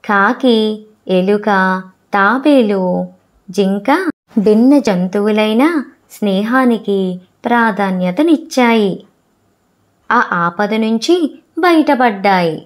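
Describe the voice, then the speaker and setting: A woman storyteller narrating a scripted children's moral fable in short, deliberate, measured phrases. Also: mid-range voice.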